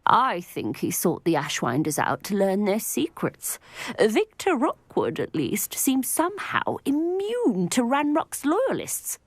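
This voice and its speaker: An actress playing a chatty, worried, widowed older village woman in a fantasy video game, with a British accent and expressive, folksy phrasing. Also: medium pitch, slow pace, dry recording, heavily acted. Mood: worried, gossipy. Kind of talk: video game character dialogue.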